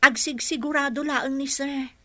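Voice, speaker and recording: A respectful young-sounding female caregiver in a radio drama, dutiful toward the boss. The voice is high and bright, recorded very dry and close. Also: steady tone, moderate pace, dry recording. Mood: respectful, defensive.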